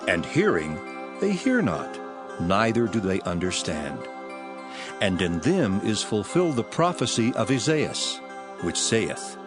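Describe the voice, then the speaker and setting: An actor playing Jesus as an earnest preacher teaching parables in a dramatized scripture reading, solemn and declamatory, with music under the voice. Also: male voice, lowish voice, full character voice. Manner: solemn, declamatory, measured phrasing. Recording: loud music bed under the voice.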